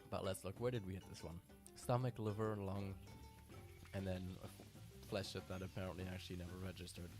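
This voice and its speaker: Male gamer, deep voice, casual live-stream chatter, music bed behind. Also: a little fast. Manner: relaxed, chatty, talks to viewers by name. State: tired from little sleep. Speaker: game streamer. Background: music playing.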